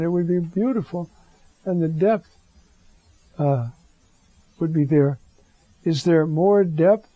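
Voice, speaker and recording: A hoarse man giving a slow, reflective homily as a priest, halting often with fillers. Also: a little high, warm tone, dry recording, highs cut off.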